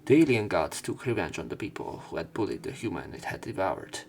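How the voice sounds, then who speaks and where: Low-voiced man narrating a visual novel. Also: very animated, brisk, gravelly, darkish tone, slight background noise. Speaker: let's play narrator. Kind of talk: reading visual novel text aloud with commentary.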